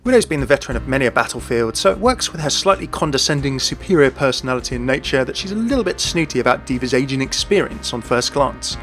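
A man's voice: medium pitch, lively intonation, fast, hardly any pauses, a bit bright, faint music behind. A man hosting a video, reading scripted commentary on a video game. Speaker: video host.

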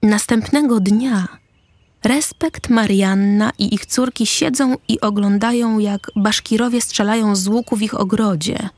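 A woman narrating a radio drama deliberately, pausing between short phrases. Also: mid-range voice, darkish tone, no room sound.

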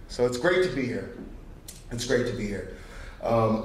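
Middle-aged male award presenter, folksy and conversational, mid-pitched, heard from a distance in a heavily reverberant hall. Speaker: guest presenter, company manager. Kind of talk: award presentation speech to an audience. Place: stage in an auditorium. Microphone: podium microphone. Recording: very echoey hall, distant, faint noise.

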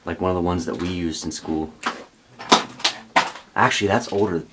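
A young man hosting a casual exploring vlog, chatty and excitable as he thinks aloud inside an abandoned building. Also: low-pitched, moderate pace, heavy background noise. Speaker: urban explorer host. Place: abandoned newspaper building indoors.

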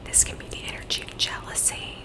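A woman whispering softly and reassuringly as a Reiki practitioner, in an ASMR session. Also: brisk. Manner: soft whispering, gentle and reassuring. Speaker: Reiki practitioner and psychic medium. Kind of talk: whispered ASMR energy-healing talk. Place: Virginia, USA.

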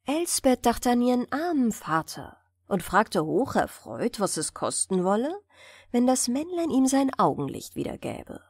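A woman narrating a fairy tale audiobook as a bedtime story, expressive and clearly enunciated. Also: fairly high pitch, a little slow, no echo, clean studio sound.

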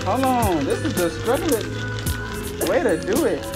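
High-voiced joking young man in a store. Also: very animated, a little slow. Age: young adult. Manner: joking, teasing, laughs a lot. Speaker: street interviewer. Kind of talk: playful prank interview. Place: large indoor clothing store. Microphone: handheld mic. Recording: loud music behind.

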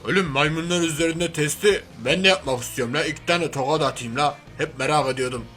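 A man's voice: on the high side, fast, bright, forceful tone. A man voicing a crude, hot-headed, hairy oaf of a superhero in a comic game cartoon, with brash, slangy, grumbling street talk. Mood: mocking. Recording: music behind the voice.

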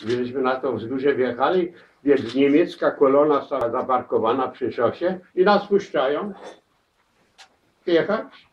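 An elderly man shares his war memories in a crowded living room. He speaks haltingly, repeating words and restarting his sentences. He is picked up from across the room on a dull camera mic. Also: mid-range voice, expressive. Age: older. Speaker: war veteran interviewee. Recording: distant, dull camera audio.

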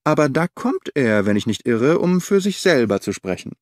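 A man voicing a sharp, analytical detective who reasons briskly and incisively in a dry recording. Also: mid-range voice, very animated, dry recording, close to an everyday voice. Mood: triumphant.

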